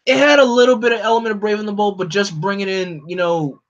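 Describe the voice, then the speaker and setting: A man hosting a casual live stream, talking in an opinionated, animated way that jumps up in pitch when he gets excited. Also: fast. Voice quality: no regional accent. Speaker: streamer host. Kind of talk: casual chat to a live audience. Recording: dull lo-fi home stream audio.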